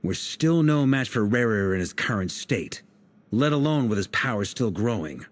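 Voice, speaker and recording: A charming, sly knight. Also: male voice, lowish voice, animated, moderate pace. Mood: scheming. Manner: smooth, playful, persuasive. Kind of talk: video game voice acting.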